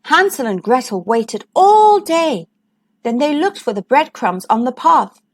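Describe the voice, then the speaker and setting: A woman reading a storybook aloud for young children in an expressive, deliberate way, as host and narrator. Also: high voice.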